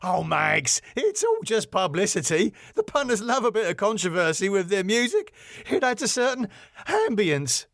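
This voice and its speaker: A man's voice: sits high, wildly swooping pitch, moderate pace, creaky, a bit bright, dry recording, clean studio sound, voice pushed up, exaggerated voice. A man voicing a cheeky, glib music promoter who wisecracks and placates with a flippant manner.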